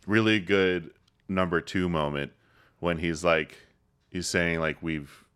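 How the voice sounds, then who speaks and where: A man co-hosting a casual podcast, recapping a film in excited chat and acting out quotes in funny voices. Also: deep voice, takes it slowly, smooth. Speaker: podcast co-host.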